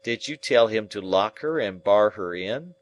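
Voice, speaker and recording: A man voicing a dying man raving in terror at a ghost, shrill, frantic and pleading. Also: lively intonation, unhurried. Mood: weak, clinging.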